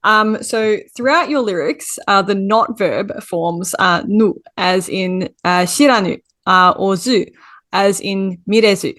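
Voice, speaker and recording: A woman's voice: medium pitch, slow pace, a bit bright, dry recording. A female podcast co-host asking a guest analytical questions, careful and full of small fillers. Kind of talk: interview questions.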